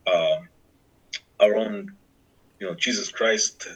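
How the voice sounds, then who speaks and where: Guatemalan guy, middle-aged, low husky voice, keeps restarting his sentences. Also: low-quality recording. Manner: halting, with restarts and fillers. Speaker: interviewee, church worker. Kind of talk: reflective interview talk.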